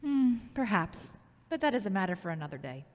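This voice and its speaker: A woman's voice: medium pitch, big pitch swings, fairly quick, lightly acted. A woman playing a touchy, ambitious grown daughter in an audio drama, speaking in a dry, defensive way. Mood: determined, dry. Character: Charity, touchy, ambitious grown daughter, radio station worker. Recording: long echoey reverb.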